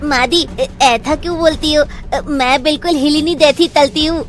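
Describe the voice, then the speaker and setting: A woman's voice: high voice, fairly even intonation, normal speed, soft background music, voice pushed up, close to an everyday voice. A woman voicing a sweet young bride who lisps heavily and talks in childlike baby talk. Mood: sweet, earnest. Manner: innocent, eager to please. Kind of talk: cartoon voice acting.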